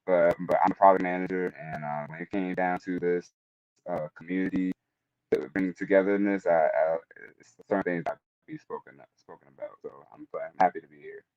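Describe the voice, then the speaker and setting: A man giving an informal introduction as a panelist, halting and repeating words as he explains, on lo-fi audio. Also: deep voice, steady tone, a little mellow, clean tone, no echo. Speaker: panelist, product manager.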